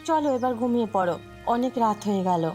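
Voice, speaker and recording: A woman's voice: on the high side, expressive, fast, darkish tone, natural voice. A woman playing a practical, worried village wife in a cartoon, with an anxious, cautioning manner. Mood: concerned, cautious. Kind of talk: Bengali moral-story cartoon dubbing. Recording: music behind the voice.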